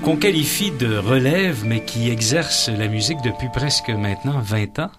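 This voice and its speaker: This is a man hosting an interview in a broadcast studio, speaking. His pitch moves up and down in a lively way. He addresses his guest formally and courteously, in a conversational broadcast style. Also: normal speed, over music. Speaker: host interviewing a musician.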